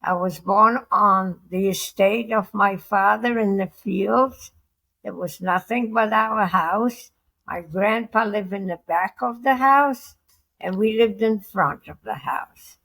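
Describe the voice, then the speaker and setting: An elderly woman in her nineties speaks slowly. She pauses often and fills the gaps with "you know" as she recalls her past for an interviewer. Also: mid-range voice, gravelly, a bit bright, rough recording. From Poland. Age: 90s. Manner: slow, halting, with fillers like "you know". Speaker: interview guest. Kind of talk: casual reminiscing interview.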